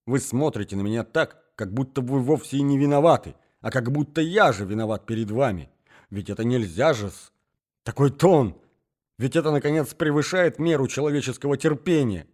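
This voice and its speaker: A man voicing an indignant, wounded young gentleman in a dramatic reading of a story, with exclamatory, emphatic speech. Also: mid-range voice, moderate pace, close to an everyday voice. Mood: baffled, indignant. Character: enraged, wounded young gentleman, rejected suitor.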